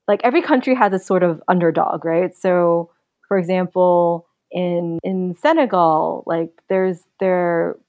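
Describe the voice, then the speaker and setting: Thoughtful young woman, low-pitched and dry. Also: a little mellow, dry recording. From United States. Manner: thoughtful, earnest, measured. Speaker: podcast guest. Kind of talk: casual interview.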